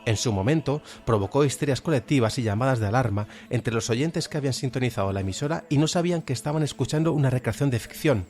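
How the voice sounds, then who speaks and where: A man with a Spain Spanish accent presenting a podcast in an explanatory, measured way. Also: medium pitch, a little mellow. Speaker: podcast host. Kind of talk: podcast presentation, semi-scripted. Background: music.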